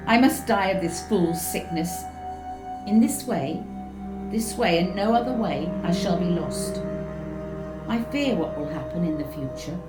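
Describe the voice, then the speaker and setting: A nervous, sickly young man speaks of his own coming death with solemn fear, read by a woman. His voice rises and falls with feeling. The room rings with echo, and soft music plays behind. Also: mid-range voice, lightly acted. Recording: roomy echo with faint music.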